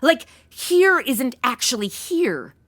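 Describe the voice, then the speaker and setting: A woman acts a young American valley-girl type in a comedy radio play. The voice is high and swoops around, blunt, casual and easily exasperated. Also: big pitch swings, takes it slowly, fairly bright tone, exaggerated voice. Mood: anxious, baffled. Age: young adult. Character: flighty, slangy young modern woman, easily rattled. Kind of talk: comedy audio drama acting.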